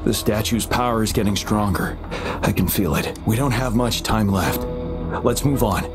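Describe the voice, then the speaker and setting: A man playing an earnest, supportive young soldier companion in a video game, giving clear tactical direction. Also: on the low side, moderate pace, over music, lightly acted. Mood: encouraging, determined.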